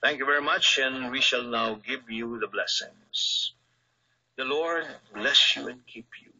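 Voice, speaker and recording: A man with a husky voice, speaking as a priest on a religious radio programme, heard through a radio speaker. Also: medium pitch, unhurried, pushed and bright. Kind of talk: religious radio talk with blessing. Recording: muffled radio speaker re-recorded on camera.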